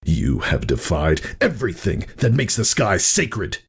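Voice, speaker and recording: A man performs a haughty pegasus warrior in a fantasy story, pitched well up from his own voice and swinging widely. He is menacing and scornful, declaiming in a husky rage. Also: sing-song, unhurried, exaggerated voice. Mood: raging, scornful. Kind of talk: dramatic fan fiction reading.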